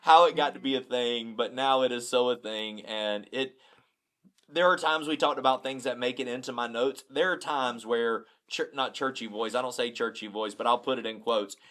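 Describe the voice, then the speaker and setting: Middle-aged man, pastor, blunt and self-deprecating, mid-pitched voice, casual chat. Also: fairly even intonation, moderate pace, fairly bright tone. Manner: repeats words when starting a thought.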